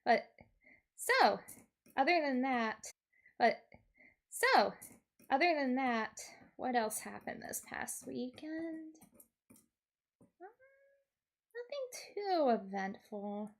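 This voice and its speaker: Chatty woman streamer with a higher-pitched voice. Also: slow pace, long pauses. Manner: animated, giggly, expressive. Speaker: streamer, VTuber host. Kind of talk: casual chatty livestream storytelling.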